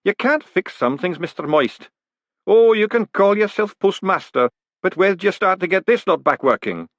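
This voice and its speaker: A male reader plays an old lodge elder in a fantasy audiobook. His voice is raised in pitch and lively, rambling on in a colloquial, kindly but resigned way. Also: moderate pace, dry recording. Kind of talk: audiobook character voice.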